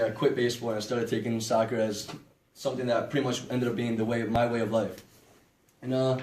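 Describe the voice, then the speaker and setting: A man's voice: medium pitch, a little mellow. A young man from Ecuador giving a casual class presentation, rambling with lots of "like" and fillers. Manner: rambling, filler-heavy, "like" repeated. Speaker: student presenter. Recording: echoey room with background hiss.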